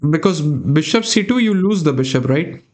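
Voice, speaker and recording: Young male chess streamer, Indian accent, slightly higher voice, musing and chatty, desk mic in a small room. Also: lively intonation, moderate pace, a little mellow. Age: young adult. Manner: thinking aloud, chatting with viewers. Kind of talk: live chess commentary.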